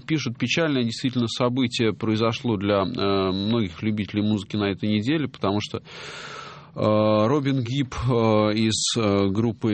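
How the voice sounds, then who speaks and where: A middle-aged man hosting a music radio show, talking casually and hesitantly, with many drawn-out filler pauses. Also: lowish voice. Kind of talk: casual radio talk reading listener messages.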